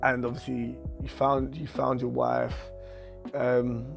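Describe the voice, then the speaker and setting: A man's voice: mid-range voice, monotone, takes it slowly, gravelly, soft background music. A man hosting a casual chat, asking curious questions and stumbling over his words. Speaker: host.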